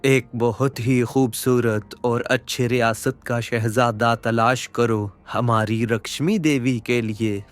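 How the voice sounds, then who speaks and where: A man plays a stern cartoon king and father. His delivery is measured, even and slow, dismissive and commanding, over faint music. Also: medium pitch, lightly acted. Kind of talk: Hindi cartoon story dialogue.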